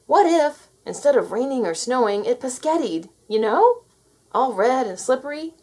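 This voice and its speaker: A woman voicing an excitable, imaginative and sensitive young boy in a storybook. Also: high voice, moderate pace, husky, pitched above the performer's own voice. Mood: excited, gleeful.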